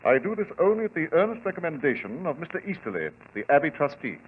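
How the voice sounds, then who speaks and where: An actor playing a flustered, anxious lord in a 1940s radio drama, formal and agitated. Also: male voice, on the high side, sing-song, quick pace, breathy edge. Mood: grave, uneasy. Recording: tinny old radio recording, music behind.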